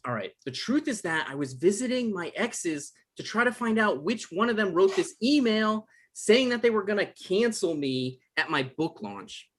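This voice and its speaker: Man playing whiny, argumentative male novelist, husky edge, quick to anger, table-read drama. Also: high voice, animated. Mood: sheepish. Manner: argumentative, exasperated. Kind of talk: live table read of a screenplay.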